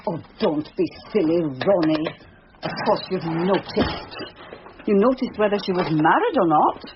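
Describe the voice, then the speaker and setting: An actress playing a policeman's sharp, jealous wife in a radio drama, with a dry, clipped, pointed manner. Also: fairly low pitch, lively intonation, measured pace. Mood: suspicious, needling. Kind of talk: naturalistic radio drama acting. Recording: muffled, noisy old radio recording.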